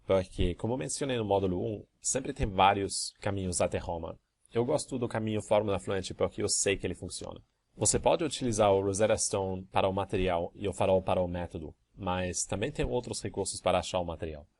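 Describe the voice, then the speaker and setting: Low-voiced man teaching a language course. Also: a little fast, vocal fry. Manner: calm, methodical explaining. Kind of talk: answering student emails in a course video.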